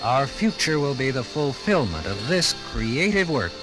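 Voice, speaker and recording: A man's voice: mid-range voice, big pitch swings, measured pace, over music, rough recording. An American man narrating a 1950s radio documentary from a script in a solemn, declamatory, dramatic manner.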